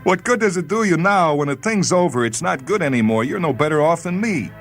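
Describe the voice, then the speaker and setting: A man's voice: on the high side, animated, fairly quick, soft background music, voice pushed up. Man acting out a bitter dying spaceman, urgently pressing. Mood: bitter, taunting. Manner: urgent, pressing, shouting into a telephone. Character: Hollis, bitter dying spaceman, jealous, taunting, despairing.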